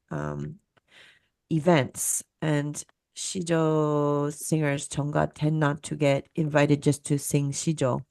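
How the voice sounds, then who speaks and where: A woman's voice: sits low, vocal fry, a little mellow, no room sound. A woman speaking as a guest musicologist on a video call, explaining thoughtfully and searching for words as she goes.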